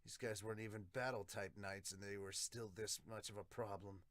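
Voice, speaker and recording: Man putting on a quiet, stern knight, lowered voice. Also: steady tone, a little fast, dry recording, lightly acted. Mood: cool, quiet. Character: stern male knight, coolly menacing, sworn to protect.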